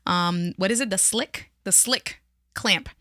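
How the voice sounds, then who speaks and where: A woman's voice: medium pitch, unhurried, bright, forceful tone, no room sound. A woman streaming live from a friend's home studio, chatting casually and laughing often with playful banter. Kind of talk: casual chat on a livestream.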